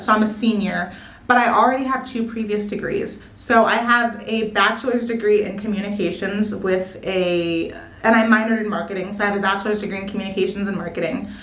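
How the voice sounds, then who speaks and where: A woman's voice: medium pitch, roomy sound, slight background noise, poor audio. A young woman, a nursing student, chatting casually on her vlog while coming down with a sore throat. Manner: chatty, candid, self-deprecating. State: getting sick, sore throat. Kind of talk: casual coffee-and-chat vlog.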